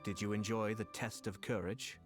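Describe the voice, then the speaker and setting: A man voicing a suave young lord from a video game. He is courteous and calculating, speaking fairly low in polished phrases with clear music behind. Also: flat delivery, normal speed, natural voice. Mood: suave, satisfied.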